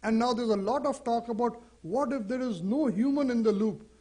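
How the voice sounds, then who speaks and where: A man with a high-pitched voice and an Indian English accent speaks at a seminar. He talks in a loose, conversational way and keeps throwing rhetorical questions at the audience. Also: moderate pace, dark tone. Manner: conversational, poses rhetorical questions, says "you know". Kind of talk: conference talk.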